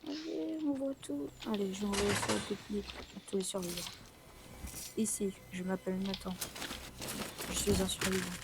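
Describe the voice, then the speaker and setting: Someone playing an anxious, flat-toned apocalypse survivor. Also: medium pitch, gravelly, very noisy, lightly acted. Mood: urgent, pleading. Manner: halting, repetitive. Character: Nathan, anxious, weary zombie apocalypse survivor with a refuge. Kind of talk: amateur improvised game roleplay film.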